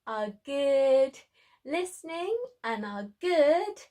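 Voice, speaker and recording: A woman's voice: sits high, animated, drawn-out words, dark tone. A woman presenting a preschool story time, speaking in an encouraging way to small children.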